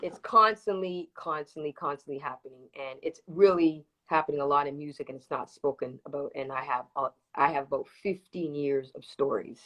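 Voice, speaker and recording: Woman, low voice, from Toronto, interview guest, casual chat, emphatic repetition. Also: fairly even intonation, fairly quick, hardly any pauses, sharp tone, poor audio. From Toronto, Canada, with early childhood in Jamaica. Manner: emphatic, repeats words for stress. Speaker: interview guest, reggae dancehall singer. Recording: livestream video call.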